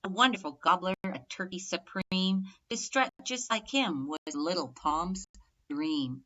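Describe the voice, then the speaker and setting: A woman reading a rhyming children's story aloud expressively, measured and emphatic on the rhymes. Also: lowish voice, bright, forceful tone, muffled recording. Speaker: storybook reader.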